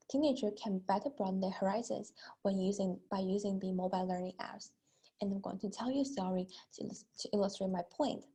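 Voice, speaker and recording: A woman's voice: mid-range voice, creaky, warm tone, breathy edge. A young woman delivering a rehearsed persuasive speech, stressing her openers and sometimes repeating a phrase as she goes. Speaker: student speaker.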